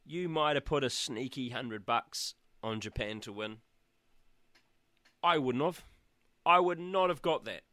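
A chatty New Zealand man hosts his show from a small room at the back of a shed, teasing his listeners with cheeky asides. His voice is bright and forceful despite a sore throat and a blocked nose, and it sounds dry and close. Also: medium pitch, expressive, unhurried, pauses often, no room sound. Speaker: radio show host. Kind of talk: casual solo chat.

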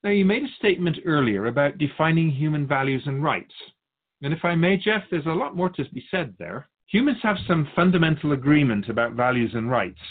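Assured man giving measured spiritual teaching. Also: fairly high pitch, animated, phone-quality sound. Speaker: spiritual guide answering questions. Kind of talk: philosophical spiritual teaching in conversation.

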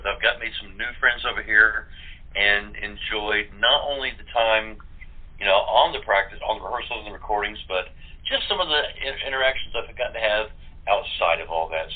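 Husky low-voiced man on a phone line. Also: moderate pace. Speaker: voice actor in an audio theatre group. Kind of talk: casual chat. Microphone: phone or internet call. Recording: narrow, tinny phone line.

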